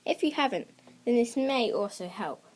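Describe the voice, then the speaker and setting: A woman with a fairly high voice presents a scripted lesson for young listeners. She teaches creative writing in simple, encouraging language, addressing children directly as their host. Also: lively intonation, normal speed. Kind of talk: scripted instructional narration for children.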